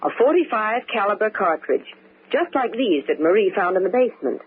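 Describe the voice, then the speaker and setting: A woman's voice: medium pitch, very animated, a little fast, close to an everyday voice. An actress playing a frightened, desperate married woman with a pleading, dramatic delivery in a 1940s radio mystery. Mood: anxious, urgent. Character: frightened, desperate young married woman convinced of murder plot. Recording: hissy, narrow-band old radio broadcast.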